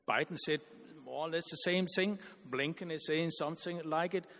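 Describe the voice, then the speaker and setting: A man giving an earnest, argumentative conference panel speech, halting with frequent restarts and repeated words. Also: fairly high pitch, a bit bright, in a large hall.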